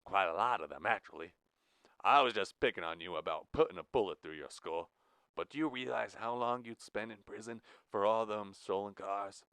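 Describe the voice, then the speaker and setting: A man voicing a ruthless, threatening male prison warden who talks loud with a drawl. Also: medium pitch, animated, normal speed, no room sound, voice pushed up. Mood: taunting.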